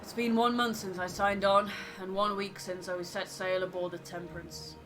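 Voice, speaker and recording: A woman voicing a thoughtful, wary first mate who narrates a ship's log in a measured, literary way for a game. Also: mid-range voice, flat delivery, music in the background, natural voice, rough recording. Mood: reflective, wary.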